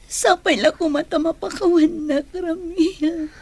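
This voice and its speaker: High-voiced, guilt-ridden young Filipino woman. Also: fairly even intonation, a little slow, darkish tone. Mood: remorseful, tearful. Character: guilt-ridden, self-sacrificing young woman in a love triangle. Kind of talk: radio drama acting.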